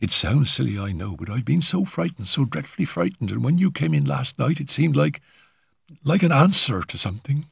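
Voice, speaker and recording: A man voicing a frightened young woman in a storybook reading, talking in a hurried, halting, nervous way. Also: medium pitch, animated, gravelly, husky, no echo, studio quality, voice pushed up, heavily acted.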